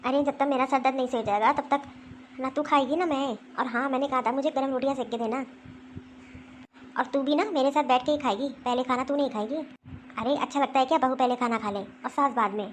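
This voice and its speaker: A woman's voice: high voice, fairly even intonation, brisk, a little hiss or hum, natural voice. A woman acting as a bossy, scheming older mother-in-law who scolds and gives orders in a family skit. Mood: scolding. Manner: scolding, commanding, grumbling to herself.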